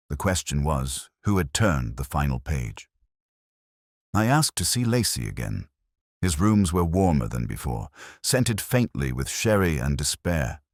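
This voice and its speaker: An extremely deep-voiced, brooding detective played by a man tells his case in the first person. The delivery is measured, reflective and somber, with melodic rises and falls, like an audio crime drama. The sound is dry and close. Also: dry recording, exaggerated voice. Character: brooding, melancholy English male police detective narrating his case.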